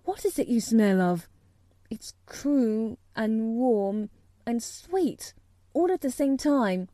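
A woman voicing a bedridden boy who speaks with excited wonder. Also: fairly high pitch, a little slow, no echo, clean studio sound. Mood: delighted. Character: sickly boy, suddenly delighted.

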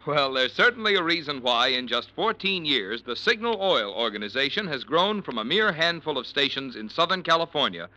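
Forceful, animated old-time radio announcer man. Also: on the high side, a little fast, few pauses, low-quality recording. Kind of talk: 1940s radio sponsor announcement.

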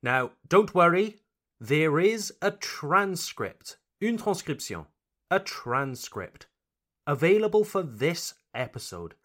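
A man's voice: fairly high pitch, very animated, slow pace, fairly bright tone. Langzame Welshe man, levendige toon. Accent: light Welsh. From Wales. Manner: carefully articulated, teacherly, encouraging. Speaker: podcast host and English teacher. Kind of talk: scripted podcast narration for learners.

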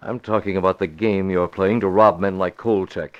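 Male actor as tough masked crimefighter, low and commanding, dull vintage radio recording. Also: fairly even intonation, moderate pace, close to an everyday voice. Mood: menacing demanding. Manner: forceful, clipped, commanding. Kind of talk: 1940s radio acting.

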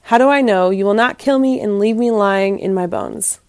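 A woman's voice: mid-range voice, normal speed, smooth, no room sound, studio quality, close to an everyday voice. A woman playing a bold, questioning young maiden in a storybook tale. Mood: wary. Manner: defiant, inquisitive.

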